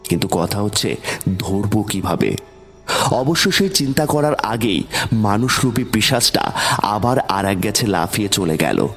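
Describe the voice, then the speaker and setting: Male horror narrator: low voice, suspenseful, dramatic scripted storytelling over soft background music.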